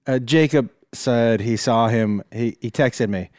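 A man's voice: measured pace, dry recording, studio quality. A man chatting casually and telling funny stories, often acting out other people's lines. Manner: animated, jumps into high voices acting out stories. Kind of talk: casual storytelling chat.

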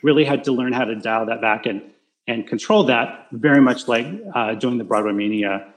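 A man with a naturally loud voice answering questions in a casual online interview. He talks in halting bursts, restarting phrases and filling pauses as he goes. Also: medium pitch, poor audio. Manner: halting, full of restarts and fillers. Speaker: interview guest. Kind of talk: casual video-call interview.

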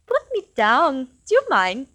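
A woman's voice: sits high, huge pitch swings, measured pace, fairly bright tone, full character voice. A coy, secretive young lady. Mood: teasing. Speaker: radio drama actress. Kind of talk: 1940s radio acting.

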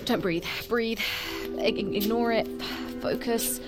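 A woman's voice: fairly high pitch, slow pace, music in the background. A woman playing an anxious, rule-bound young woman in an audio drama, fretful, hesitant and stammering. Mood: queasy, fretful. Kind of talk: naturalistic audio drama acting.

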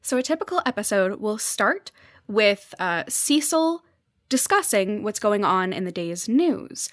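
A woman's voice: mid-range voice, very animated, measured pace, dry recording, clean studio sound. A woman guest on a podcast, explaining pop culture in an enthusiastic, conversational way.

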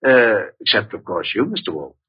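Posh-ish uncle dressed as a bum, old radio drama, raspy, swoopy delivery, muffled sound. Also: male voice, lowish voice, big pitch swings, moderate pace, no room sound, exaggerated voice. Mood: pleased, grateful. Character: genteel male millionaire uncle disguised as a tramp. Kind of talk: 1940s-50s radio mystery acting. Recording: dull vintage radio recording.

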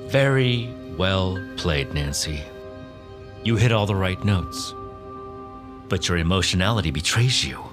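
A man playing a smug, accusing corporate boss in an audio drama, speaking in a measured, insinuating and condescending way. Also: sits low, lively intonation. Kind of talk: audio fiction acting. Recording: music bed behind the voice.

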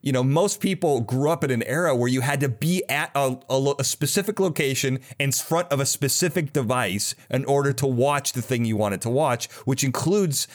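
A man's voice: medium pitch, moderate pace, few pauses. A man hosting a podcast, chatting casually and enthusiastically with rambling, conversational asides. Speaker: podcast host. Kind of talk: casual enthusiastic interview chat.

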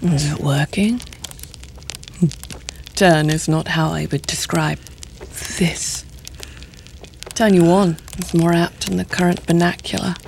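Sly, low-voiced seductive spy handler. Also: female voice, steady tone, gravelly, a little mellow, a little hiss or hum. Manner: teasing, insinuating, deliberate formal diction. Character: sly, seductive mature female intelligence handler. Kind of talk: audio drama acting.

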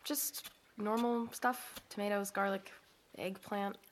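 A woman's voice: mid-range voice, fairly even intonation, slow, slight background noise, natural voice. Woman playing an ambitious small-town American teenage girl. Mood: hesitant, self-effacing. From United States. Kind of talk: audio drama acting with first-person narration.

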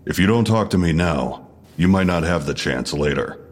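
An actor playing a stern, needling police detective questioning someone in a measured, sardonic way in an audio drama. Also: male voice, low-pitched, animated. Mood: sardonic pressure. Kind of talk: full-cast audio drama interrogation.

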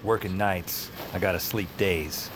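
Low-voiced, wry lonely narrator. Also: male voice, steady tone, a little slow, noisy background. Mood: wry weariness. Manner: self-deprecating, conversational. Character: wry, lonely, sleepless male night-shift worker narrating his life. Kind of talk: first-person audio drama narration. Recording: music behind voice.

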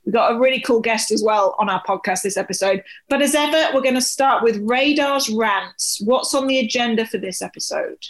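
High-voiced British woman hosting a podcast. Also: brisk, few pauses. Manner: chatty, informal. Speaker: podcast co-host. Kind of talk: casual podcast chat.

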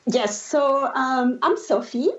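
A woman's voice: high voice, slow pace. Belgian French-accented woman on a dull line. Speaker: guest, cookbook author and blogger. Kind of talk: podcast interview.

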